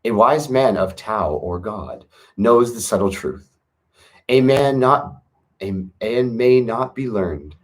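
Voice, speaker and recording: A man's voice: mid-range voice, lively intonation, slow pace. Earnest man reading outdoors on a porch. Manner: earnest, gentle, spiritual. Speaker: reader of a classic text. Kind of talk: reading aloud with casual asides.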